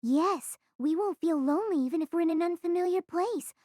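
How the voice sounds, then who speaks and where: A woman's voice: extremely high pitch, brisk, dark tone, no echo, studio quality. A woman playing a cheeky, scheming teenage schoolgirl in an audio drama, breezy and teasing. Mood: cheerful. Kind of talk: anime-style audio drama acting.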